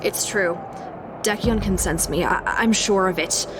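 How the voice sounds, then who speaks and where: A woman playing an anxious, hunted heroine in a fantasy audio drama, hushed and hesitant, with music behind. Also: mid-range voice, a little slow, noisy background, lightly acted. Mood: worried. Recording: loud music bed behind.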